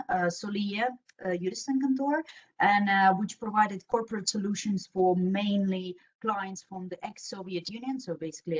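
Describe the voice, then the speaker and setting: A young woman with a Russian accent gives an informal presentation as a panel speaker in an online meeting. Her voice sits at a medium pitch. She is a native speaker of Russian. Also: rough recording. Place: online video meeting with screen sharing.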